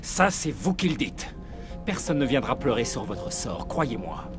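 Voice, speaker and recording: A ruthless corporate official in a dramatic video game. His delivery is volatile and cynical, swinging widely in pitch with a sneer, over faint music. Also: sing-song, measured pace. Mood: cold, menacing. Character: ruthless, agitated male corporate official holding colonists hostage. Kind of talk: dramatic French game dub acting.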